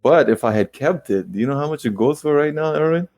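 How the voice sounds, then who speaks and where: A man in his late twenties, a guest investor telling stories in a casual interview with quick rueful asides. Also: on the high side, expressive, a little mellow, no room sound. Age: 20s. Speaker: guest real estate investor.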